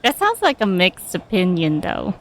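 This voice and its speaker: A woman's voice: fairly low pitch, wildly swooping pitch, moderate pace, fairly bright tone, a little hiss or hum. A woman giving casual, giggly and reactive commentary as she streams a video game.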